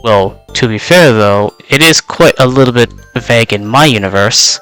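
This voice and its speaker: A young man whose first language is Vietnamese introduces himself as a VTuber in a loose, rambling way, leaning on filler words as he goes. His voice sits at a middle pitch and comes through smooth and resonant, though it sounds slightly worn out. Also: expressive, normal speed, fairly bright tone, soft background music. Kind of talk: casual in-character self-introduction.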